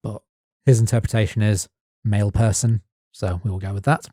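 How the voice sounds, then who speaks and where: A man co-hosting a podcast, reading his prepared notes as measured narration. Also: on the low side, fairly even intonation, warm tone, no room sound, clean studio sound. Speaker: podcast co-host. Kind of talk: scripted narration.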